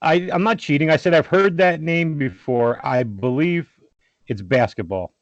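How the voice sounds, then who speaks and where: A middle-aged man speaks bluntly and gruffly as a guest on his son's podcast, tossing out dry wisecracks. He talks over a remote call that comes through dull and lo-fi. The sound is dry and close. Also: a little high, normal speed, no room sound. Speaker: guest, the host's father. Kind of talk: casual chat.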